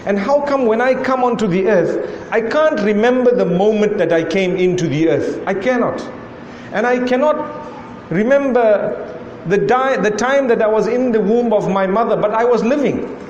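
A man with a Zimbabwean accent delivers a sermon to a live audience, driving his point with a string of rhetorical questions. His voice sits high for a man. The sound carries the long echo of a large hall over a faint room hum. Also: normal speed, darkish tone, highs cut off. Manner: rhetorical questioning, repeating "why" and "how come". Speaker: preacher.

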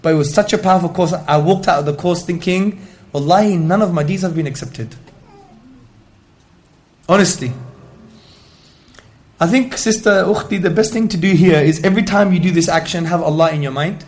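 A man with a high-pitched voice delivers an Islamic lecture to listeners. His delivery is emphatic and rhetorical, and he drops Arabic devotional phrases into his talk. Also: moderate pace, pauses often, a little mellow. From Greek heritage. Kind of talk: religious talk to an audience, with questions.

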